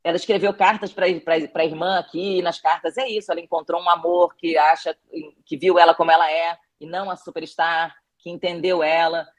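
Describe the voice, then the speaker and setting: A woman's voice: lowish voice, little pitch movement, brisk. A woman with a Brazilian Portuguese accent, a documentary director answering interview questions reflectively, telling anecdotes with frequent restarts.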